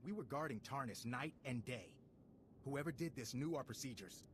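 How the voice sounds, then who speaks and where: An actor playing a brisk male security agent commander in a video game, giving urgent, businesslike orders. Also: medium pitch, animated, a little hiss or hum, lightly acted.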